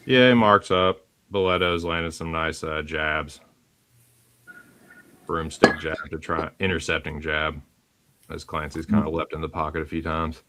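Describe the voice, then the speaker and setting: A man with a New England accent giving relaxed, self-mocking play-by-play of a fight during a live watch-along. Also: rumbling low, unhurried, a little hiss or hum. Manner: laid-back play-by-play, self-mocking about bets. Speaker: co-host, live fight commentator.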